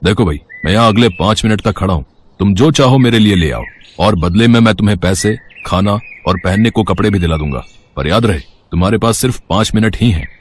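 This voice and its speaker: A man voicing a rich, self-assured businessman in a storybook tale, calm and courteous, with a matter-of-fact, authoritative manner. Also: lowish voice, brisk, slight background noise, voice pushed down, natural voice. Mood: firm, businesslike. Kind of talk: Hindi storybook character dialogue.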